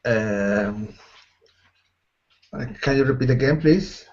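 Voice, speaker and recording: A man, an English learner speaking hesitantly with many pauses and apologies. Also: mid-range voice, darkish tone. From Spain. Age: 40s. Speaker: student. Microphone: video call. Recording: muffled video call audio.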